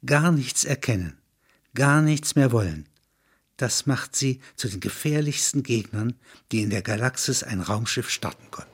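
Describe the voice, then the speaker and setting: An older man with a husky voice, speaking reflectively with frequent questioning tags. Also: mid-range voice, animated, normal speed. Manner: discursive, punctuated with questioning tags. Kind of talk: reading prose and reflective conversation.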